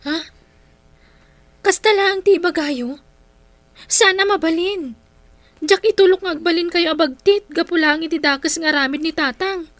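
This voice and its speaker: A woman's voice: very high-pitched, normal speed, long pauses, fairly bright tone, no echo. A woman playing an outspoken, exasperated daughter in a Filipino radio drama, with an Ilocano accent. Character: exasperated, outspoken grown daughter of a troubled family.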